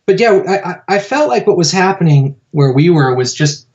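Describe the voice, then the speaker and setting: A man's voice: a little high, expressive, moderate pace, a little mellow, highs cut off. A man as a podcast guest, telling his story in a rambling way with many fillers and restarts. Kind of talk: casual storytelling interview.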